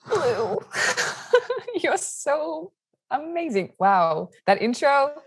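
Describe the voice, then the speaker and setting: A woman's voice: high-pitched, very animated, very slow, no echo. An Australian woman guest chatting over a video call, bubbly and laughing as she talks. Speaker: podcast guest, YouTuber.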